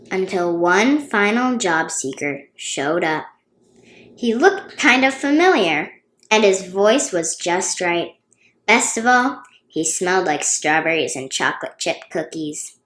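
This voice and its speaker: A woman reading a children's storybook aloud expressively, with dramatic, drawn-out emphasis on key words. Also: fairly low pitch, fairly bright tone. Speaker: children's book reader and channel host. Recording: roomy, echoey sound.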